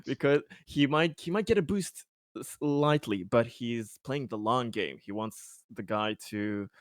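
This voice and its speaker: A man's voice: mid-range voice, measured pace, no room sound. Casual male tabletop player, dry sound. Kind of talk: casual tabletop game chat.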